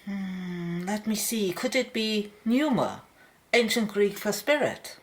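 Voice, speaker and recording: A woman playing a calm, thoughtful, attentive doctor in a radio play. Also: mid-range voice, slow pace.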